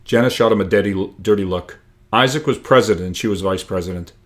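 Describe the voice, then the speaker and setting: A man reading his own book aloud in an expressive storytelling style, lifting the dialogue with animated emphasis. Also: lowish voice, quick pace.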